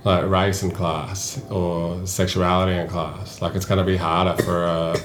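A man's voice: low voice, level tone, moderate pace, a little hiss or hum. A man with an Australian accent hosting a casual chat, telling stories in a chatty, easygoing way. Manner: chatty, storytelling. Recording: roomy echo.

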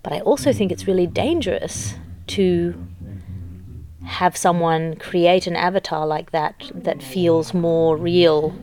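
A woman's voice: on the low side, slow, a little mellow. A woman comedian chatting backstage, talking things through in a thoughtful, analytical way. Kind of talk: casual backstage chat. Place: backstage at a venue.

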